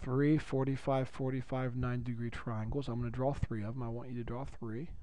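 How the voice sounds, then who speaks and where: A man with a raspy voice teaching a geometry lesson, upbeat and chatty, repeating key phrases for his students. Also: medium pitch, little pitch movement, brisk, mellow, no room sound. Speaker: math teacher. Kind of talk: recorded classroom lecture.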